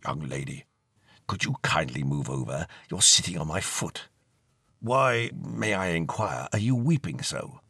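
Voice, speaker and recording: A low, hushed old ghost with formal, old-fashioned manners, as a male narrator puts him on. The voice is husky and sits close and dry, with plenty of lilt in its courtly phrasing. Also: expressive, measured pace, no room sound, exaggerated voice. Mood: gentle.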